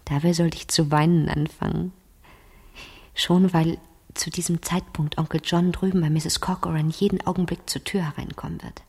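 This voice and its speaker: An actress playing a calculating fifteen-year-old girl in a German radio crime drama, speaking deliberately and sometimes hushed. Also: deep voice, little pitch movement, creaky, breathy edge, heavily acted. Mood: smug, calculating. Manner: slow, deliberate, hushed at times.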